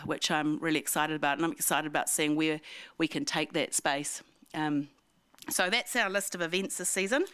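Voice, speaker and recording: A woman's voice: deep voice, a bit bright, clear, resonant tone, dry recording. A woman giving a chatty, self-deprecating ski resort update at a community meeting, with plenty of fillers. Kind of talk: community breakfast talk.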